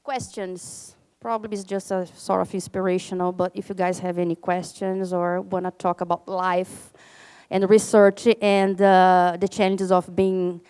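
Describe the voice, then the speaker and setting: A woman's voice: lowish voice, darkish tone, clear, resonant tone. Brazilian woman speaking at a conference. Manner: hesitant, many fillers and restarts. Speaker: alumni ambassador speaker. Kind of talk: conference talk with Q&A. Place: conference room.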